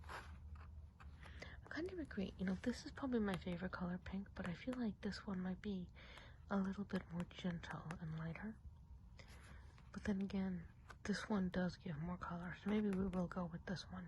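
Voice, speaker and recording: A soft, breathy whisper with a dark, mellow tone comes from a gossipy woodland witch, played by a woman in an ASMR roleplay. She confides chattily in the listener and asks gentle questions, over clearly audible background noise. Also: mid-range voice, normal speed, pauses often, lo-fi sound, lightly acted. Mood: fond, musing.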